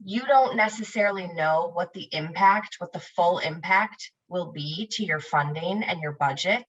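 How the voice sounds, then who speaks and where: A woman from the United States presenting a webinar over a video call, upbeat and welcoming, speaking in clear prepared phrases. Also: fairly low pitch, normal speed. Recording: dull lo-fi video call audio.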